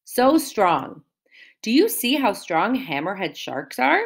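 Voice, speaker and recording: A woman's voice: medium pitch, very animated, slow pace, creaky, slightly rough. A woman teacher reading a picture book aloud to young children, explaining words and asking them questions in a sing-song way.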